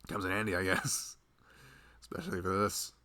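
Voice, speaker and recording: A man's voice: low voice, steady tone, normal speed, bright, forceful tone. A man playing a loving, easygoing husband and nervous expectant father in an intimate ASMR roleplay, rambling casually and hesitantly. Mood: amused, bashful. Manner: rambling, self-amused, hesitant, often chuckling.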